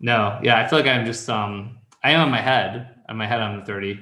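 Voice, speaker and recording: A man's voice: fairly low pitch, normal speed, sharp tone, reverberant, slight background noise. A man in his thirties giving casual advice in a chat, rambling and thinking aloud as he goes. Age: 30s. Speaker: call-in show host and copywriting mentor.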